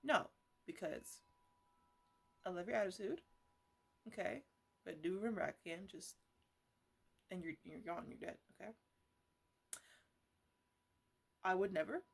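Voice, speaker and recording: A woman playing an eccentric, defensive botanist in an ASMR roleplay, rambling haltingly and repeating herself as she fusses over her plants. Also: lowish voice, creaky, husky. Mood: playfully scolding. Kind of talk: ASMR roleplay, casual improvised chatter to plants.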